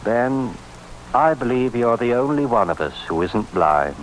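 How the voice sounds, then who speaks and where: A man playing a grave, courteous middle-aged police inspector in a vintage radio drama, speaking deliberately. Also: mid-range voice, sing-song, soft background music. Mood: troubled. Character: haunted, courteous Scotland Yard inspector with lost past. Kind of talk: 1940s radio drama acting. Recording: noisy old radio recording.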